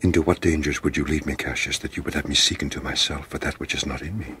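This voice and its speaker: An actor playing a troubled, reserved Roman nobleman in a classical radio drama, with grave, measured verse delivery. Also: a bass voice, fairly even intonation, fairly bright tone, husky, exaggerated voice.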